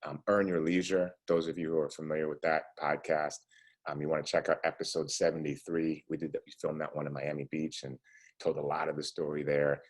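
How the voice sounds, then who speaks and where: Deep husky entrepreneur in his forties. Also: flat delivery, brisk. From United States. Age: 40s. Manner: conversational, lots of "you know" and "right?". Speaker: entrepreneur guest speaker. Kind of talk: casual talk to students.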